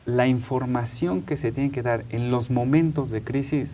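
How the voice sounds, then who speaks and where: A man with a Mexican accent hosting a studio interview, speaking conversationally and haltingly as he searches for his words. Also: mid-range voice, dark tone, a little hiss or hum. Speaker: talk show host and interviewer.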